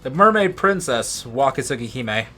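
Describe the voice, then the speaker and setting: A man with an average-pitched voice chats casually as he co-commentates a video game. He talks like an opinionated geek and swears freely. Game music plays faintly behind him. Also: big pitch swings, normal speed, pushed and bright. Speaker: co-commentator on a let's play. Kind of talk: casual chat over gameplay.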